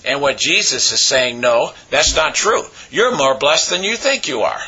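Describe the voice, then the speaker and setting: A man with a raspy voice, hoarse from a cold, preaching a sermon as pastor with many pauses and fillers. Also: a little high, very animated, bright, forceful tone, muffled recording.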